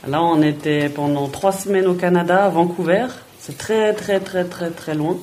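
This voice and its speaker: A woman's voice: lowish voice, moderate pace, a little mellow, a little hiss or hum. A woman, a footballer answering children's questions plainly and in a friendly way, often repeating words as she thinks. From Switzerland. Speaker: footballer guest.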